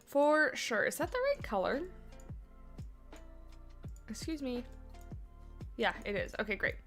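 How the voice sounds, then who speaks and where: A woman's voice: high voice, wildly swooping pitch, takes it slowly, a bit bright, soft background music. A woman hosting a game livestream in a casual, rambling way, with many fillers and restarts, congested and sniffly from allergies. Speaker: livestream host.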